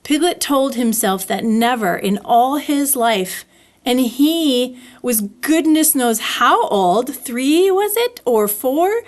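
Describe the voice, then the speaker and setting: A woman reading a children's storybook aloud as a narrator, with expressive, deliberate phrasing. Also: high voice. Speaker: library story reader.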